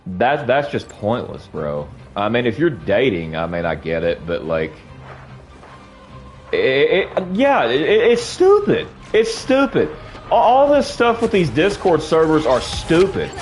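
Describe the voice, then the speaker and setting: A man's voice: mid-range voice, wildly swooping pitch, measured pace, soft background music. A young man streaming while gaming, ranting casually to his chat. He rambles, repeats himself, says bro a lot, and sounds sniffly from allergies.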